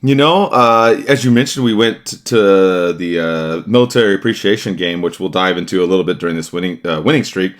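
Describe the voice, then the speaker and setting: A man's voice: sits low, brisk, hardly any pauses. A man hosting a sports podcast, chatting casually and rambling with restarts and fillers. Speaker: podcast host.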